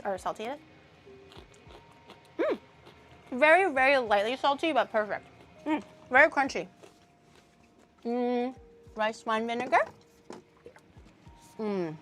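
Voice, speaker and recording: A woman's voice: on the high side, a bit bright. A woman VTuber chatting casually while cooking in her home kitchen, blunt and profane, murmuring as she tastes the food. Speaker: streamer and VTuber. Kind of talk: casual cooking livestream chat.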